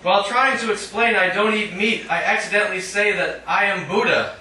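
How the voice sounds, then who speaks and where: A man giving a live poetry reading in a measured, declamatory way with dry humour, adding short spoken asides. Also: high-pitched, bright, forceful tone. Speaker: poet reading own work. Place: indoor literary cafe reading. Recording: echoey live room, distant mic, faint noise.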